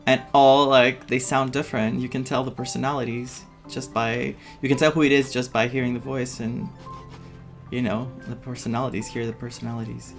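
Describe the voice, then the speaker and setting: Female gaming commentator: casual, unhurried, strikingly deep register, music behind. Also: level tone. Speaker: gaming streamer.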